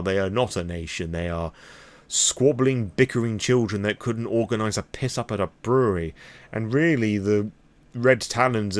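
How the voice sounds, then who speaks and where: A man's voice: fairly low pitch, dry recording. A British man rambling candidly and off the cuff in a vlog, opinionated and swearing freely. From Britain. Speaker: vlogger on tabletop roleplaying lore.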